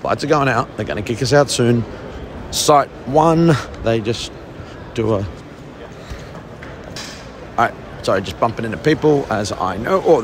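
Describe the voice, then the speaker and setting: Chatty Australian man on a noisy show floor. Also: medium pitch. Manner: chatty and offhand, pointing things out as he walks. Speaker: vlogger touring a trade show. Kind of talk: casual walking tour commentary. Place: large indoor convention hall, trade show floor. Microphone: handheld phone camera, filming as he walks. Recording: noisy convention hall on a phone.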